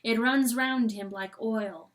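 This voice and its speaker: A woman reading a novel aloud as dramatic narration, with theatrical, deliberate phrasing. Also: fairly high pitch. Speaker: audiobook reader.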